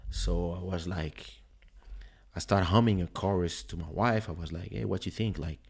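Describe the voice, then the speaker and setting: A man with a French accent, a native French speaker, hosting a livestream listening party in relaxed, rambling casual chat with many fillers. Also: low-pitched, moderate pace, vocal fry, slightly rough. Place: home streaming setup. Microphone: studio desk microphone.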